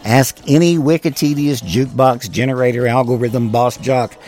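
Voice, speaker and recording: A man's voice: medium pitch, steady tone, moderate pace, darkish tone. A man hosting a music show, delivering scripted music-history narration in a wry, wordy, playful way. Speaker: radio music show host. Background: music under the voice.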